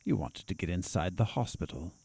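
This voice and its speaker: An actor playing a sardonic asylum doctor in an audio drama, probing and needling in a confrontational way. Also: male voice, deep voice, expressive, fairly quick, creaky, darkish tone, husky, exaggerated voice.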